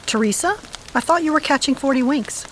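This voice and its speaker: A woman's voice: high-pitched, fairly quick, a little hiss or hum, natural voice, rough recording. A woman playing a wry, sardonic, restless character who talks in plain colloquial phrases in an audio drama. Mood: wry, surprised.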